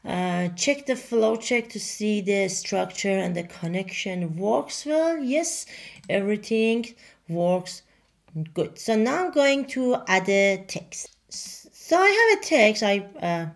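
A woman whose first language is Farsi, talking through a software demo in halting English with many fillers. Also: medium pitch, animated, gravelly. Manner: halting, many fillers and restarts, non-native grammar. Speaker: software tutorial presenter. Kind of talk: screen-recorded software walkthrough.

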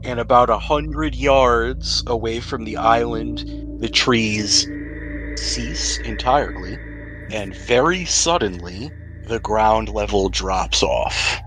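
A man's voice: medium pitch, poor audio. Man narrating as game master, music under. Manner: playful, describes scenes slowly.

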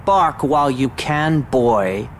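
A man's voice: mid-range voice, expressive, slow, a little hiss or hum. A man playing a vain, scheming game villain, taunting and mocking in a grandiose, theatrical manner. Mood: gloating. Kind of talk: video game cutscene acting.

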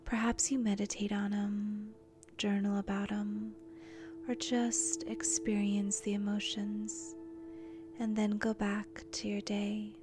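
A woman leading a guided meditation in a soft, breathy, near-whispered voice, gentle and soothing, with long pauses between short phrases. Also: medium pitch, flat delivery, music in the background. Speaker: meditation guide, channel host.

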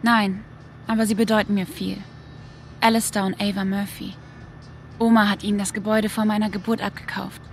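Supporting game role, female actor: young, sweet, unsure, flat understated delivery, noisy ambience. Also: medium pitch, a little fast, natural voice. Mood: fond. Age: young adult. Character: anxious, sweet young woman, unsure about leaving town. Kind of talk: naturalistic video game dialogue.

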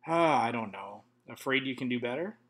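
A man's voice: medium pitch, lively intonation, moderate pace, fairly bright tone, natural voice. A man voicing a playful, bossy young princess who teases her friend in a storybook reading. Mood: teasing. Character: chatty teasing young princess woman.

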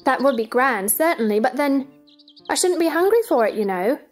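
A woman's voice: high-pitched, lively intonation, moderate pace, soft background music. A woman playing a polite, curious and easily indignant young girl in a stage play dramatization. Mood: puzzled, polite.

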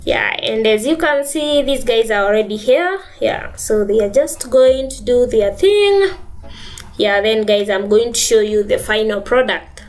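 A woman's voice: fairly high pitch, measured pace, darkish tone. A woman with a Kenyan accent, vlogging casually on her phone in her living room, chatty and saying "guys" and "yeah" a lot. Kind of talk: casual vlog narration.

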